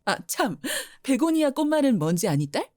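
A woman's voice: sits high, big pitch swings, normal speed, mellow, dry recording, clean studio sound. A woman playing a playful, welcoming mother who runs a flower shop in an audio drama, affectionate and coaxing. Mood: playful teasing. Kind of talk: Korean audio drama acting.

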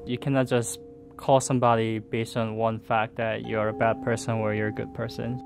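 A man's voice: on the low side, level tone, normal speed, gravelly, over music. A man from South Korea answering interview questions in a plain, matter-of-fact way.

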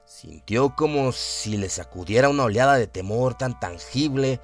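A man giving a solemn, theatrical narration of a horror radio drama, with deliberate phrasing. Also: mid-range voice, animated. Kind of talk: dramatic scripted horror narration, audiobook-like reading. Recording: music under the voice. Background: atmospheric music bed.

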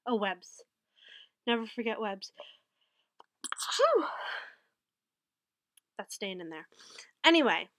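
A woman's voice: on the high side, sing-song, takes it slowly, long pauses, a bit bright, dry recording. A woman in her thirties with a head cold, sounding a bit nasal, chatting rambly about her knitting haul to a webcam. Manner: chatty, rambling, self-correcting. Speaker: knitting vlogger. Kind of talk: casual haul and recap vlog. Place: small wood-paneled room with bookshelves. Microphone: webcam at desk.

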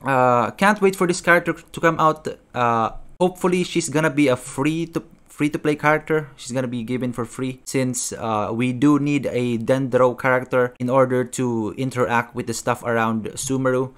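A young man hosts a casual reaction video. His voice sits at a medium pitch, and he talks haltingly, circling back over his phrases as he comments. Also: clean tone. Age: young adult.

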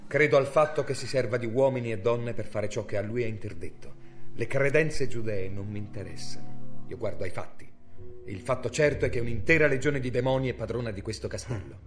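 An actor in an Italian radio drama playing a cold, cunning medieval inquisitor with a measured, formal, severe delivery. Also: male voice, mid-range voice, faint music behind, natural voice. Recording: noisy radio drama recording.

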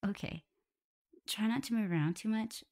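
A woman playing a caring, flustered young omega werewolf in an intimate ASMR roleplay, soft and often breathy and whispery, fussing as she talks. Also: mid-range voice, normal speed, lightly acted. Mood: tender, caring. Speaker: audio roleplay performer.

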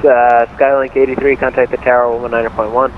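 A man is directing aircraft as a controller over a narrow, muffled radio channel. His phrasing is clipped and procedural, delivered quickly, with a steady drone of noise behind it. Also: medium pitch. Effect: radio transmission. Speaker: air traffic controller. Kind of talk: radio air traffic instructions.

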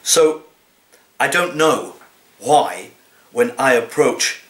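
Slow, theatrical man in his sixties. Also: medium pitch. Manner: deliberate, theatrical, expressive delivery in a cat's persona. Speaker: actor reading poems. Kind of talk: dramatic comic poetry reading. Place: small living room, indoors. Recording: roomy, echoey home recording.

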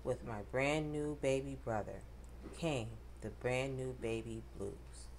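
A woman reading a rhyming picture book aloud to children, with expressive, dramatic emphasis. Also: a bass voice, takes it slowly, slight background noise. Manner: addresses children directly, dramatic emphasis on rhymes.

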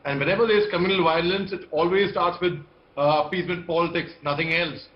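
Indian guy arguing on a news panel, really emphatic, high voice, muffled call. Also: fairly even intonation, brisk. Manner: emphatic, repetitive. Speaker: TV debate panelist, army veteran. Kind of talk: impassioned debate commentary. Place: indoor room on video call. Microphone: video call microphone. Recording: muffled, echoey video-call audio.

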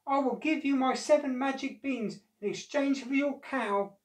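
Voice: high voice, normal speed, roomy sound, voice pushed up, natural voice. A reader voicing a funny little old man making a coaxing offer.

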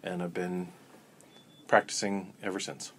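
A man guest in an interview, talking in a relaxed, rambling way with many ums and restarts. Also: low voice, measured pace, slight background noise. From Florida. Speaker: interview guest, coven elder. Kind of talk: casual storytelling interview.

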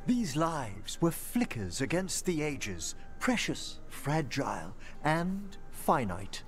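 A middle-aged, scholarly necromancer in a video game. His voice sits fairly high and moves expressively, formal and courteous in every phrase. Music plays clearly behind him. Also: sing-song, slow pace, fairly bright tone, full character voice. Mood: solemn.

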